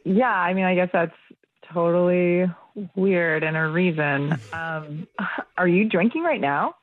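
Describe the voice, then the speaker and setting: Female caller, lowish voice, awkward and hesitant, dry tinny phone connection. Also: a little slow, dry recording. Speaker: radio show caller. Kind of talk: casual phone conversation. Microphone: telephone.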